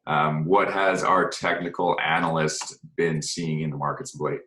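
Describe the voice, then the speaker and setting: A man co-hosting a financial conference call, relaxed and prompting his colleague with questions. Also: very deep voice, normal speed, roomy sound, a little hiss or hum. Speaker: co-host financial advisor.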